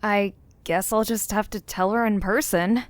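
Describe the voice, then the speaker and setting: A woman's voice: medium pitch, steady tone, unhurried, dry recording, lightly acted. Weary, irritated actress as a young woman, dry recording. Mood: muttering. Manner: muttering, exasperated. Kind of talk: audio drama dialogue.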